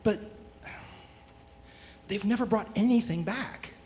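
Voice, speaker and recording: A woman's voice: mid-range voice, slow, warm tone, slight background noise, lightly acted. A woman playing an anxious, aggrieved young student in a live radio play, hesitant, stammering and emotional. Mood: uncertain. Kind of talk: live radio theatre acting.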